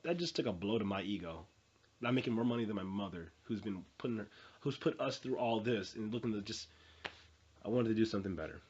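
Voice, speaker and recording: A man's voice: fairly low pitch, husky, highs cut off. A man in his twenties giving an earnest, unscripted motivational talk, repeating phrases and restarting often. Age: 20s. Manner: earnest, repetitive, with many fillers and restarts. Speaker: motivational vlogger.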